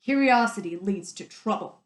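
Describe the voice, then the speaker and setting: A woman's voice: medium pitch, lively intonation, moderate pace, close to an everyday voice. Woman acting a stern, barking male chief guard, roomy echo. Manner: barking, scolding.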